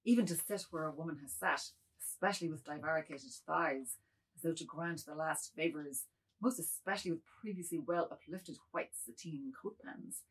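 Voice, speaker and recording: Woman as a wordy, guilt-ridden man, husky voice. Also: low voice, normal speed, rough recording. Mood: overcome, sensual. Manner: wordy, theatrical. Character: self-abasing middle-aged man, guilty, wordy, then defiant. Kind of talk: dramatized reading of a modernist novel.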